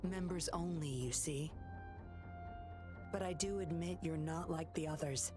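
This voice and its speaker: Low-voiced sly puppeteer woman. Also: measured pace, over music. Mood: sly, teasing. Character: composed, sly woman puppeteer and undercover patroller. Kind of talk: scripted video game voice acting.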